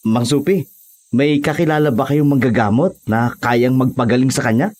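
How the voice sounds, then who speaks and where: A man's voice: medium pitch, normal speed, darkish tone, close to an everyday voice. Man playing an eager, loyal younger relative. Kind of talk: Tagalog horror audio drama acting.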